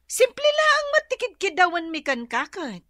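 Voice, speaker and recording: A woman's voice: very high voice, wildly swooping pitch, normal speed, bright, forceful tone, no room sound, studio quality, heavily acted. An actress playing a fed-up, scolding wife and mother in an Ilocano comedy radio drama, with an Ilocano accent. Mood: firm, angry. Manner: nagging, exasperated.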